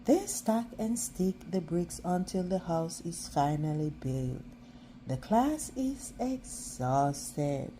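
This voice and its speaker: A woman reading a children's picture book aloud as a storyteller, expressive and now and then halting over words. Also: fairly low pitch, slight background noise, low-quality recording.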